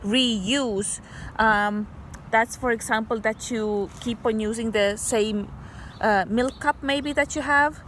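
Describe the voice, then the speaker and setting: Slow, high-voiced woman teacher reading aloud. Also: fairly bright tone, a little hiss or hum. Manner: patient, simple step-by-step explaining. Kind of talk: slow, expressive picture-book read-aloud with simple explanations.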